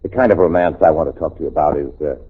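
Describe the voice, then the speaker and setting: A man playing a suave, kindly, slightly bemused movie star in a 1940s radio comedy, with a husky, gentlemanly delivery. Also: rumbling low, racing. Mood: gently fatherly. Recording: tinny, narrow-band old radio recording.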